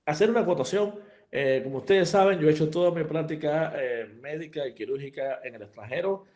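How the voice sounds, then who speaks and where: A man's voice: on the high side, brisk, darkish tone. A middle-aged man from Cuba giving a live lecture to fellow vets. He explains step by step, restarts his sentences often and drops English terms into his talk. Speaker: veterinary surgeon lecturer.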